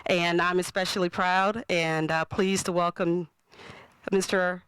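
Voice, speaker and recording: Clear-voiced woman giving a formal introduction. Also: lowish voice, flat delivery, a little slow, a bit bright, dry recording, clean studio sound. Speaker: civic official giving a welcome. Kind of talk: formal introduction at a press event.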